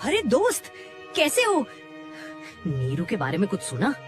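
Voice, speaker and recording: A man's voice: high-pitched, wildly swooping pitch, unhurried, fairly bright tone, faint music behind, full character voice. A man playing a chatty village man in a cartoon story. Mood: grave. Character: chatty village man at tea stall, wary. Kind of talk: dubbed cartoon story dialogue.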